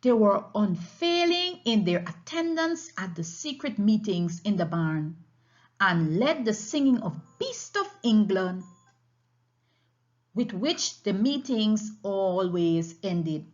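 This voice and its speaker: Slow, lively woman reading a storybook. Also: mid-range voice, dull audio. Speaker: audiobook reader. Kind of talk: scripted storybook narration, read aloud for family listening.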